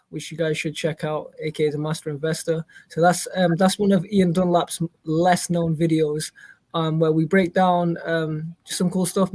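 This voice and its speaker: A man talks as a podcast guest in a relaxed, even flow full of ums. His voice sits high and barely rises or falls. The sound is close and dry. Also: no echo. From Indonesia. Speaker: guest, Web3 company founder. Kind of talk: casual podcast interview.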